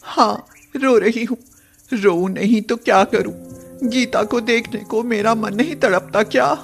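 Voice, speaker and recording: A woman's voice: on the high side, a little slow, vocal fry, pitched above the performer's own voice. Loyal, feisty schoolgirl played by a female narrator, emotional cartoon moral tale, music underneath. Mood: tearful, longing. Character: fierce, loyal schoolgirl defending and missing her friend. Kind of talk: acted Hindi moral cartoon story.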